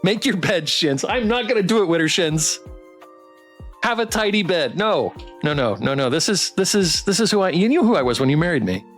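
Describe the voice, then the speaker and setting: A game streamer chatting through a live playthrough in a playful, expressive way. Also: medium pitch, moderate pace, soft background music. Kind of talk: live visual novel playthrough, reading aloud and chatting.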